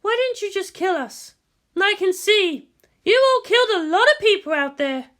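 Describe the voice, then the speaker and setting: A bitter female commander, as a story narrator puts her on, pitched well up high and edged with menace. The delivery is taunting and weary, dramatic audiobook character work with a sharp tone. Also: big pitch swings, moderate pace, heavily acted. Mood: menacing, seething.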